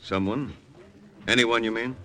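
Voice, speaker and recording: A man's voice: medium pitch, steady tone, measured pace, pushed and bright. Curt, guarded male hotel guest. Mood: wary. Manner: brusque, clipped, wary. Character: curt, guarded, suspicious hotel guest, American diplomatic type. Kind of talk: 1950s radio drama acting. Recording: vintage radio drama recording.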